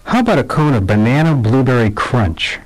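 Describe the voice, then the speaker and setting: A man's voice: medium pitch, very animated, brisk, rough recording. Man reading practice dialogues. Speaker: dialogue reader.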